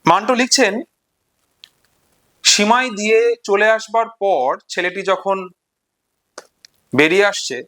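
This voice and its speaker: A man retelling and explaining a short story in an unscripted lesson, deliberately, pausing between short phrases. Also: high-pitched, expressive, bright, forceful tone. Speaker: literature explainer.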